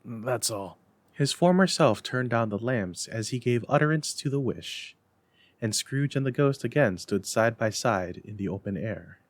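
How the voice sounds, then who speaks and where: A man narrates a classic story as a dramatic reader. His mid-pitched voice is expressive with measured phrasing, and the recording is dry and close. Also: no room sound.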